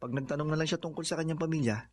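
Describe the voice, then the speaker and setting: A man plays a cheerful young groom-to-be in a radio drama. His voice is fairly high and hearty, with faint noise on the recording. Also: very fast, lightly acted. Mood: thoughtful.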